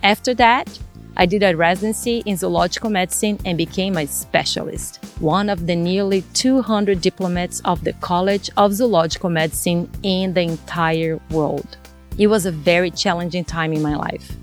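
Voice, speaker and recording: A woman in her forties from Brazil, speaking English with a Brazilian Portuguese accent and hosting a podcast in an earnest, measured way. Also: lowish voice, fairly even intonation, faint music behind. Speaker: podcast host. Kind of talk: scripted podcast intro and casual chat.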